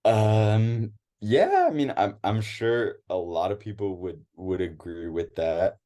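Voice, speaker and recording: A man's voice: lowish voice, big pitch swings, takes it slowly, mellow. A young American man chatting as an interview guest, hesitant, with many restarts and fillers. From United States. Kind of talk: casual interview chat.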